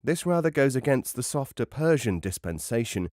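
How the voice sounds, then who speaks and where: A man reading a travel memoir as an audiobook narrator, in a measured, wry and formal literary manner. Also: mid-range voice, very animated, a little mellow, no echo, clean studio sound.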